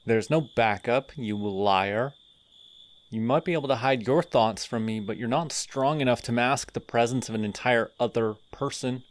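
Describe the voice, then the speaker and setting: A man voicing a sardonic male telepathic villain in an audio roleplay, mocking and deliberate. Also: mid-range voice, lightly acted.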